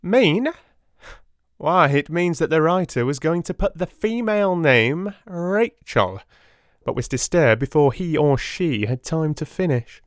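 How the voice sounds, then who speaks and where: A man voicing a pompous, self-satisfied and excitable little police detective in a dramatised audiobook, with a boastful, showman-like manner. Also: on the high side, a little slow, no echo, clean studio sound, pitched above the performer's own voice. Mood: boastful, insistent. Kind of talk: dramatic audiobook character acting.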